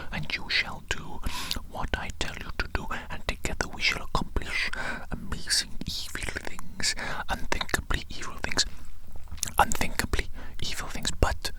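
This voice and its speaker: A performer whispering as an evil mad doctor in an ASMR medical roleplay, fussy and controlling, softly repeating phrases to a little minion. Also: normal speed, close to an everyday voice, low-quality recording. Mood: sinister, doting. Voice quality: breathy unvoiced whisper.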